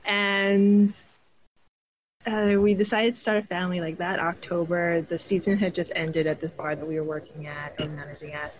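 Woman, from Hawaii, podcast guest, relaxed, laughs a lot, lowish voice. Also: moderate pace, rough recording. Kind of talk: casual life story.

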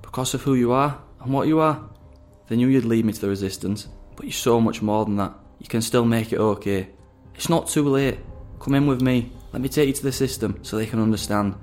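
A man's voice: medium pitch, a little fast, a little mellow, close to an everyday voice. A man playing a smug young undercover agent, a coolly calculating psychopath with a detached, condescending manner, in an audio drama. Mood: coaxing. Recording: music underneath.

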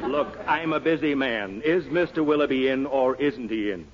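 A man plays an impatient businessman with a medium-pitched voice and a curt, businesslike manner. It is old radio comedy acting, muffled and buried in noise. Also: normal speed, over a noisy crowd, natural voice. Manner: brusque, businesslike. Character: brusque, impatient out-of-town businessman. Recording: muffled, very noisy 1940s radio recording.

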